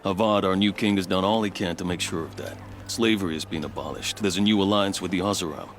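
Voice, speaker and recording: A man playing a composed, devout and loyal fort officer in a video game, speaking in a measured, formal way. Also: deep voice, a little hiss or hum, natural voice. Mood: earnest, reverent. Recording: music behind the dialogue. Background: music.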